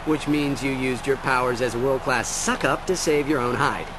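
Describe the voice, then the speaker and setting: A man's voice: medium pitch, normal speed, noisy background, natural voice. A man voicing a young, skeptical furry alien hero in a video game, wry and sardonic. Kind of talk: video game cutscene acting.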